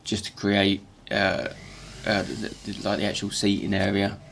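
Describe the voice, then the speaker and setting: Man, croaky morning voice, halting repeated words, casual tutorial talk, faint workshop hum behind. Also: fairly low pitch, monotone, fairly bright tone.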